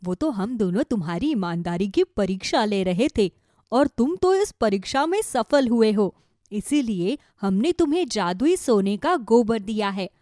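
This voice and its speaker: Lady doing magic talking cow in a cartoon, wise n reassuring, dark mellow tone, zero echo. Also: on the high side, clean studio sound. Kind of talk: animated moral folk tale dialogue.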